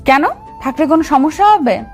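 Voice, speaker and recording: A woman's voice: high-pitched, lively intonation, brisk, soft background music. A woman playing a bossy, teasing young sweetheart in a romantic audio story, scolding and coy.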